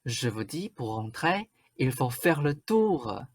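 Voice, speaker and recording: Woman doing vain bossy stepmom in a radio play, really low slow voice, suspicious. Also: sing-song, husky, no room sound, exaggerated voice. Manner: scolding, insistent questioning. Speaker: student actor. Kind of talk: radio drama acting.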